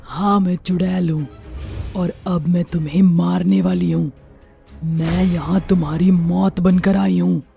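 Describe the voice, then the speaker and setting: A woman's voice: fairly low pitch, fairly even intonation, unhurried, gravelly, mellow, faint music behind. A woman voicing a vengeful, sorrowful witch ghost in a horror story, with a dramatic, threatening delivery. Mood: menacing. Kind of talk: Hindi horror story voice acting.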